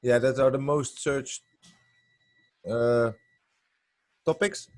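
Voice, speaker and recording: A man answers briefly on a video call and mostly agrees with what he hears. His voice sits at a middle pitch with a dry, close sound. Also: steady tone, slow, no echo. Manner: short agreeing responses. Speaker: channel owner being coached. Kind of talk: casual call, brief replies.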